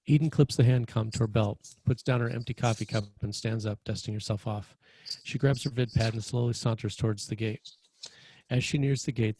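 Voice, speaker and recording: A man's voice: medium pitch, darkish tone, husky, no room sound, poor audio. A man reading screenplay stage directions as narrator, in a plain, descriptive manner.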